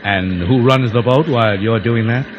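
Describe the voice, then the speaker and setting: An actor playing a smooth, threatening American gangster who taunts his captives, in old radio adventure style. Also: medium pitch, moderate pace, lo-fi sound, lightly acted. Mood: smooth, sly. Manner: mocking, threatening. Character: menacing, smooth-talking tough gangster with a gun. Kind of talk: 1940s-50s radio adventure acting. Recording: noisy old radio recording.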